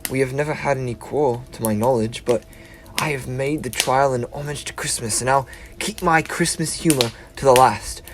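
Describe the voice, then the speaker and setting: A man's voice: mid-range voice, normal speed, close to an everyday voice. A young man acting as a cheerful, earnest nephew in a school stage play, pleading and speechmaking. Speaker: stage actor.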